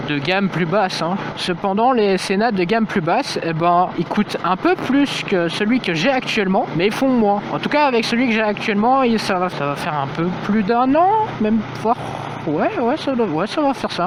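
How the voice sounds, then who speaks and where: Young male motovlogger, fairly high voice, chatty and rambling, helmet mic with heavy road noise. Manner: rambling, many fillers and slang. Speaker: motorcycle vlogger. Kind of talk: casual talk while riding. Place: on a motorcycle on city streets. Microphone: helmet-mounted mic while riding. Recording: loud wind and traffic noise.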